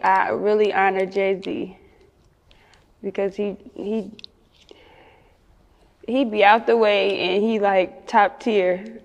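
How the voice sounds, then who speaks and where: A woman's voice: mid-range voice, big-room sound, lo-fi sound. A woman with a Chicago accent chatting casually as an interview guest, candid and slangy. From Chicago, United States. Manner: candid, slangy, often chuckling.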